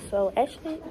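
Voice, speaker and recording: A woman's voice: high-pitched, noisy background. A young woman with a stuffed-up nose, chatting and joking as she vlogs her school day into a phone held at arm's length. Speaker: vlogger. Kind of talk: casual school vlog. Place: classroom.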